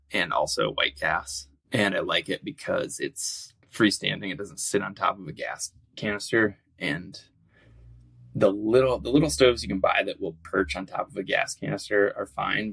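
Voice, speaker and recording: Man, husky voice, casual rambling guest talk, podcast interview. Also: fairly low pitch. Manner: relaxed, rambling, lots of fillers. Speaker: guest, outdoor cookbook author.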